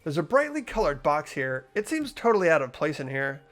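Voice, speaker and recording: A man playing an earnest, hesitant, anxious high school boy in an amateur video game dub, narrating and speaking in character. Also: big pitch swings, a little fast, fairly bright tone. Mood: tense. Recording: music behind the voice.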